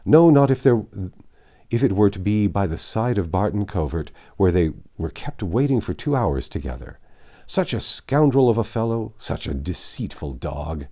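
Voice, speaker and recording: A man narrating plays a hearty, outraged country gentleman from a classic storybook. The voice is low but swings widely in pitch, emphatic and exclamatory with indignation. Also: big pitch swings, moderate pace. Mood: indignant.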